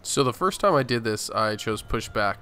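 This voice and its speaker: A man's voice: on the low side, expressive, moderate pace, sharp tone. A man giving casual, joking and sometimes profane commentary as host of a gaming video. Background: video game audio.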